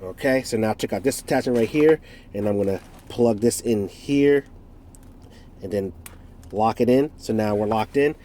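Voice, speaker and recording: A man's voice: on the low side, normal speed, dark tone. A man in his forties from the United States with a stuffed-up nose from a cold, casually reviewing a product in a rambling, self-correcting way with jokey asides. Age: 40s.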